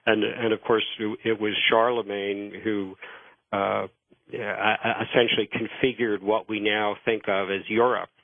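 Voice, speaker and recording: A man explaining history as a radio interview guest, halting and repeating words as he starts phrases, over a narrow phone line. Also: lowish voice, level tone, vocal fry, no echo.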